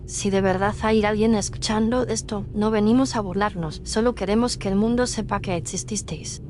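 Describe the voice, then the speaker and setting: A woman's voice: mid-range voice, fairly even intonation, normal speed, a little mellow, close to an everyday voice. A woman playing a grave livestream leader in a haunted place, speaking solemnly and carefully in a horror story. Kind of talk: horror audio story acting. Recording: clear noise and ambience behind voice.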